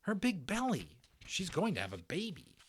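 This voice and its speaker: A man acts a practical young English boy in a children's storybook. The voice is pushed higher and jumps around. He is blunt, impatient and teasing. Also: huge pitch swings, moderate pace, slightly rough, exaggerated voice. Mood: teasing, incredulous. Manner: blunt, exclamatory.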